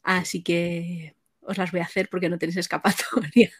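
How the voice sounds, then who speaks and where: A woman's voice: lowish voice, steady tone, moderate pace, husky. A woman with an accent from Spain hosting an informal interview and putting questions to guests. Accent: Spanish from Spain. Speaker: interviewer, host.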